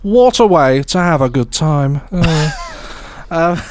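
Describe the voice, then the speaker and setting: A man's voice: fairly high pitch, lively intonation, slow pace, no echo. A man co-hosting a podcast in a casual, chatty style, jokey and rambling. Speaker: podcast co-host.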